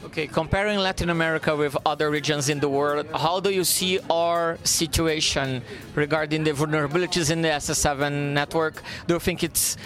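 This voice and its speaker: A man's voice: on the high side, steady tone, moderate pace, a bit bright. A man hosting a podcast interview, asking plain, direct questions. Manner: plain, questioning, brief affirmations. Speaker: podcast host. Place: conference event venue.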